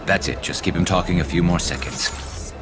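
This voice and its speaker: An actor playing a grim male ex-cop sniper out for revenge, speaking low and terse. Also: moderate pace, over music, natural voice. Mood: tense, quiet.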